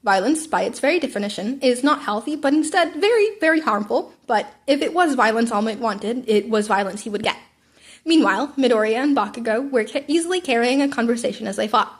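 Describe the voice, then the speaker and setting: High-ish woman reading a story aloud. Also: animated, a little fast. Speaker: narrator. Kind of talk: amateur fan fiction audiobook reading.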